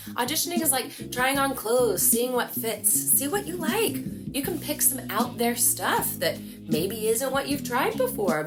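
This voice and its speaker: A woman in her thirties with a twang talks straight to camera in a small home room, lively and chatty as she walks through practical tips. Her voice sits at a middle pitch. Music plays clearly underneath her. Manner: lively, chatty, animated hand gestures. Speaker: voice-over coach. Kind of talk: casual tutorial to camera.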